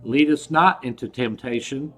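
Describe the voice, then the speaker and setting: A man leading a devotional prayer, reciting it in a solemn, measured way. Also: mid-range voice, a bit bright. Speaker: prayer leader. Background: music.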